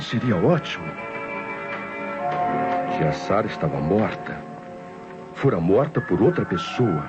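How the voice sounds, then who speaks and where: A man's voice: mid-range voice, natural voice. A man performs a nervous, calculating nephew in old-time Brazilian radio drama. His mid-range voice narrates with theatrical tension. Music plays behind a dull, dated recording. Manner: theatrical, tense storytelling.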